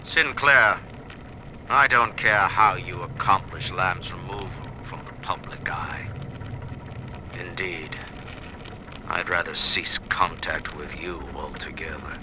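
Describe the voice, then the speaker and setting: An actor playing a ruthless middle-aged ruler of industry, giving commanding, contemptuous orders in a game audio log. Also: male voice, sits low, takes it slowly. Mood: cold, menacing. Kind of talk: dramatic recorded order. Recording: noisy, hissy vintage audio log.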